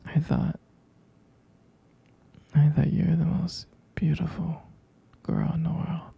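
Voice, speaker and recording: A man playing a gentle, reassuring young vampire boyfriend in an intimate sleep-aid ASMR roleplay, murmuring tenderly and hesitantly in a breathy, husky whisper. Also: a little high, little pitch movement, slow pace, no echo, dull audio, exaggerated voice. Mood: tender, affectionate.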